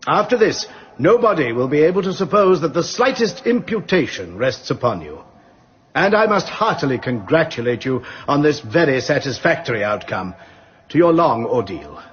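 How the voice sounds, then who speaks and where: Radio courtroom: grave English judge, formal and ceremonious address, slightly dull recording. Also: male voice, on the high side, sing-song, slightly rough, heavily acted. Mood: solemn, gracious. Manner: measured, ceremonious. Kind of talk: radio drama acting.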